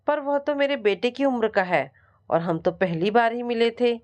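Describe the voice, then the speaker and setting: A woman's voice: on the high side, normal speed, darkish tone, voice pushed up, natural voice. A woman storyteller voicing a wronged middle-aged wife, anguished, weary and pleading.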